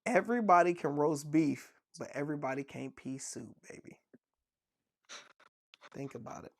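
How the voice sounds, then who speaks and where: Laughing gravelly man telling dad jokes. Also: a little high, lively intonation, normal speed, dry recording. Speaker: podcast co-host. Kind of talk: casual podcast chat.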